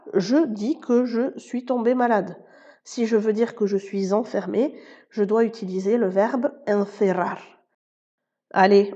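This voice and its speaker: Woman teacher doing a podcast lesson, soft mellow voice, explains step by step, kinda muffled lo-fi sound. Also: medium pitch. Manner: clear, explanatory, addresses listener directly. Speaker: Spanish teacher and podcast host.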